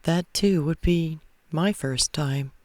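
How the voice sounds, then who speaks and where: A man's voice: a little high, takes it slowly, a little mellow, no room sound, pitched above the performer's own voice. A man voicing an earnest, devoted young cowboy groom in a romance novel. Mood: tender.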